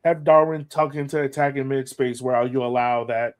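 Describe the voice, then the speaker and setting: A man's voice: mid-range voice, fairly even intonation, normal speed, smooth. A man hosting a sports podcast, giving casual fan commentary with playful, acted-out banter.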